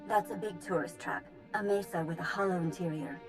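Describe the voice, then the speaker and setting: An actress playing a practical mission analyst in a video game, giving a matter-of-fact briefing. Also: female voice, lowish voice, a little fast, music in the background, close to an everyday voice, low-quality recording. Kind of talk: scripted game acting.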